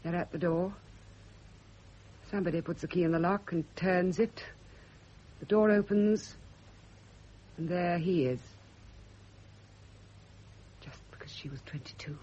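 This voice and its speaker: A woman's voice: on the low side, unhurried, pauses often, dark tone. An actress in a radio drama playing a bitter, jealous, obsessed woman who broods and repeats her phrases. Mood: brooding, hateful. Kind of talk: classic radio drama acting. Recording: dull, slightly noisy old radio recording.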